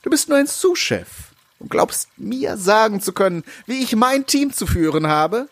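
A sneering, furious head chef from an audio drama acted by a man. His voice sits fairly high and swings widely as he commands and mocks. There is a rough husk to it. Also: sing-song, normal speed, a bit bright, dry recording, full character voice. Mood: outraged. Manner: scornful, commanding, sarcastic. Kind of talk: German audio drama acting.

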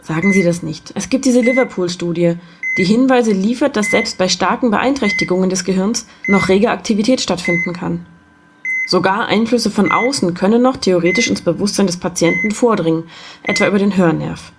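A woman playing an earnest, caring hospital doctor in a German audio drama, measured and gently insistent. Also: mid-range voice, lightly acted. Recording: beeping hospital machines, clear noise.

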